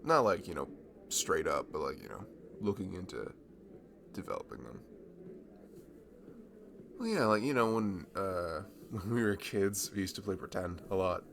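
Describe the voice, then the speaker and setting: A man playing a friendly, nerdy young guy in a casual ASMR roleplay, chatting to a childhood best friend in a halting, self-deprecating ramble. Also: low-pitched, big pitch swings, noisy background. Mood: relaxed, sheepish. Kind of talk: improvised-sounding ASMR roleplay, casual one-sided conversation.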